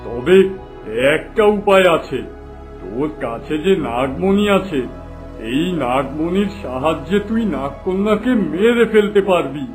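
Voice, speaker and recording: A man plays a stern cartoon holy hermit with a fairly high voice for a male. He speaks emphatically and commandingly, as a grudging adviser, over background music. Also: expressive, measured pace. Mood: stern, refusing. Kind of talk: dramatic cartoon voice acting.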